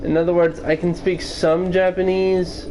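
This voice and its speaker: A man's voice: high voice, flat delivery, normal speed, warm tone, low-quality recording. A man streaming casually, answering viewers with wordy, formal phrasing. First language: English. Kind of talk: casual livestream chat answering viewer questions. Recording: noticeable background noise.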